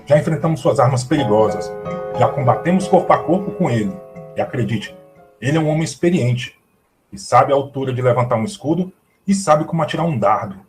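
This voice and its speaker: A man's voice: medium pitch, animated, fairly quick, darkish tone, soft background music, close to an everyday voice. Man as earnest veteran warrior giving counsel. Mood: earnest warning. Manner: earnest, formal, persuasive. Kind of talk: audio drama acting of classical epic.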